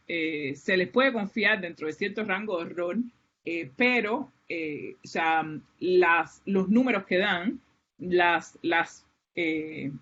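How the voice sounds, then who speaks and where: A woman's voice: on the low side, fairly bright tone. Cuban woman giving a hesitant seminar talk. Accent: Cuban Spanish. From Cuba. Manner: hesitant, many fillers and restarts. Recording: muffled, lo-fi online lecture audio.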